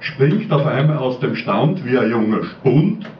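A man's voice: mid-range voice, animated, measured pace, a little hiss or hum. A man reciting a rhymed dialect poem at a pub slam. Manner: sing-song rhyming delivery. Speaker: slam poet. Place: small pub stage. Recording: roomy distant lo-fi camcorder sound.

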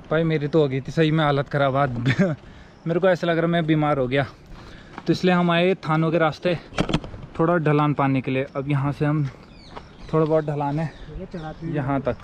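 A young man in his twenties talking casually to viewers while cycling, filmed on a handheld action camera outdoors. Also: fairly high pitch. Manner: chatty, excited, addresses viewers as brothers. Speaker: cycling vlogger. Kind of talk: casual vlog narration while riding. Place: outdoors on a bicycle on roads and riverside. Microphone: action camera held at arm's length. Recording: loud wind and traffic noise outdoors.

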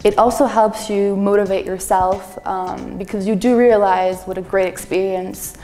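A woman's voice: medium pitch, fairly even intonation, moderate pace, mellow. A young woman student from the United States, speaking earnestly in a testimonial interview on a lapel mic. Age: young adult. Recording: reverberant hall with light music.

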